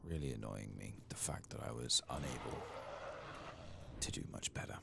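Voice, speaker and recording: A soft-spoken man doing casual, unhurried ASMR commentary over a game playthrough, sometimes dropping toward a whisper. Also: a bass voice, gravelly, heavy background noise. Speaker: host of a gaming ASMR channel.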